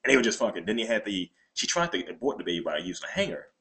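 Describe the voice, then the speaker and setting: A man co-hosting a podcast, chatting crudely and loudly, often laughing at his own jokes. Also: lowish voice, big pitch swings, racing. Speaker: podcast co-host. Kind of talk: crude casual chat.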